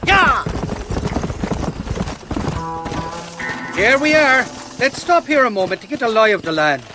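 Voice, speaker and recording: An actor voicing a shifty drunken Irish rogue in a game, blustering and pleading in a slurred, rambling way. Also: male voice, extremely high pitch, very animated, brisk, over music. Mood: scheming, bluffing. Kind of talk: game voice acting.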